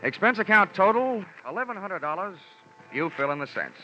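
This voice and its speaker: An actor playing a hard-boiled, wry American insurance investigator with clipped sardonic delivery in 1940s radio style. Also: male voice, high-pitched, big pitch swings, fairly quick, pushed and bright, soft background music, exaggerated voice. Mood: jaunty, sardonic. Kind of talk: 1940s radio acting, detective narration. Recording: muffled old radio recording.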